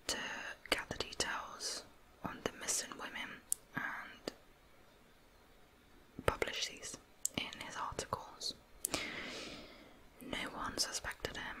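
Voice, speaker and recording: A host telling true crime stories for ASMR in a breathy, unvoiced whisper. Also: low-quality recording.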